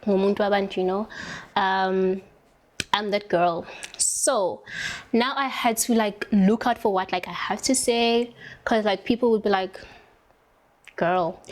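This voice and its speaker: A young South African woman talks in a loose, rambling way, with lots of fillers and stretched words. She chats as she applies her makeup, her voice sitting at an ordinary middle pitch. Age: young adult. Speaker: interviewee doing makeup. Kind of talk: casual chat while applying makeup.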